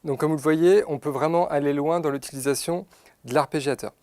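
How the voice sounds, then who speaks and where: A man giving an unscripted, methodical product demonstration, pausing to find his words as he explains each step. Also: on the high side, fairly even intonation, darkish tone, no room sound. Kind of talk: unscripted instructional product demo. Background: synthesizer demo sounds.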